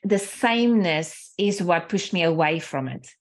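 A woman's voice: fairly low pitch, measured pace. A woman in her forties from South Africa, a native Afrikaans speaker with a South African accent, speaking candidly and thoughtfully as an interview guest. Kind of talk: reflective personal conversation.

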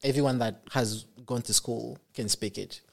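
A young man from Congo, a podcast guest, talking in a casual interview, soft-spoken and halting, with many fillers and restarts. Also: medium pitch, gravelly.

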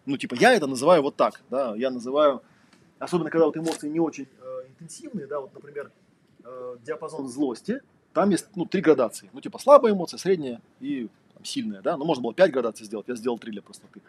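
A man's voice: mid-range voice, lively intonation, fast talker, breathy edge. A man giving a casual lecture, talking conversationally with lots of fillers and rhetorical questions.

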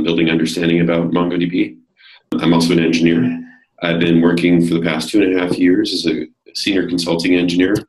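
A man with a deep, slightly worn voice speaks as a podcast guest. He explains technical points in a relaxed way, full of little fillers. The recording carries a roomy echo. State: slightly hoarse. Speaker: guest, developer advocate. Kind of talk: casual technical interview.

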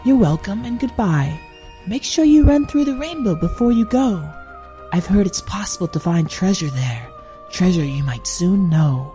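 A woman voicing a calm, kind unicorn. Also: on the low side, normal speed, faint music behind, lightly acted. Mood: gentle. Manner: calm, friendly. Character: gentle, kind female unicorn.

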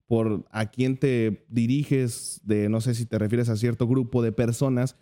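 A man's voice: medium pitch, fairly even intonation, measured pace, mellow. A man with a Mexican accent hosting a casual podcast chat, easygoing and slangy. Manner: easygoing, slangy, friendly back-and-forth. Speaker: podcast host.

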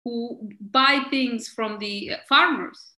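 A woman from Somalia, a guest in an interview, speaking emphatically and animatedly, halting often on fillers and breaking into laughter. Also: on the high side, sharp tone, roomy sound. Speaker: guest, member of parliament. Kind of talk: conversational interview answers.

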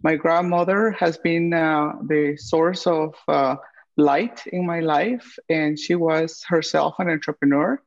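Gravelly woman, lo-fi sound. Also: low voice. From Guatemala. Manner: unhurried, many uh fillers and pauses. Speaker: guest, chef and entrepreneur. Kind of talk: interview answers. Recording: dull lo-fi audio.

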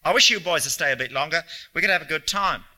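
A man's voice: medium pitch, animated, normal speed, bright, forceful tone, pitched above the performer's own voice. A man reading a story, voicing an abrasive, sardonic, ailing old man with theatrical delivery. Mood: pleading. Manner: theatrical, mocking rants.

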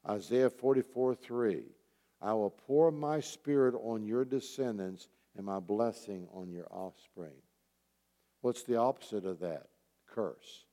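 A man with a lowish, mellow voice delivers an earnest sermon. He urges his listeners with pointed questions and repeated phrases. The sound carries the long reverb of a hall. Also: a little slow. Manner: earnest, urging, repeats key phrases for emphasis. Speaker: pastor teaching a church group. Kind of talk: sermon, exhortation with rhetorical questions.

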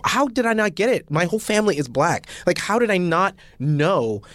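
A man as a podcast guest, telling a story in an animated, self-mocking way. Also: high voice, moderate pace, no echo. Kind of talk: casual storytelling.

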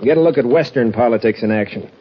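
A man's voice: medium pitch, fast, breathy edge, lightly acted. An actor playing a brash, eager rancher in his late twenties, breezy and emphatic, in an old-time radio Western. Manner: breezy, emphatic, self-assured. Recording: muffled old radio recording.

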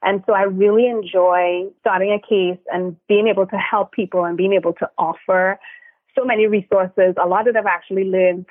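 A woman's voice: mid-range voice, fairly even intonation, normal speed, dry recording. A woman from Trinidad and Tobago, an immigration attorney, telling her story as an interview guest with earnest fillers. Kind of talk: podcast interview, remote guest. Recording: tinny phone line.

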